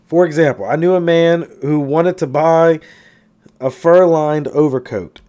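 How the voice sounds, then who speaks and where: A man reading a self-help book aloud in an expressive way, occasionally stumbling and going back over a phrase. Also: a little high, measured pace. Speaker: audiobook reader.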